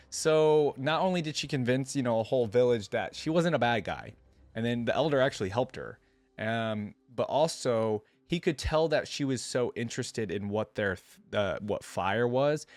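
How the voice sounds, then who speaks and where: A man's voice: mid-range voice, no room sound. A man hosting a reaction video, reading a story aloud and breaking off into casual, musing commentary with hesitant asides.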